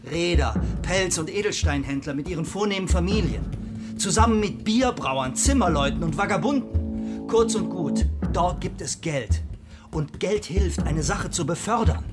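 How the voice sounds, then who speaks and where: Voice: mid-range voice, lively intonation, normal speed, loud music behind the voice, close to an everyday voice. An actor playing a worldly rebel bookseller in a historical audio drama, explaining things persuasively. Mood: persuasive, keen.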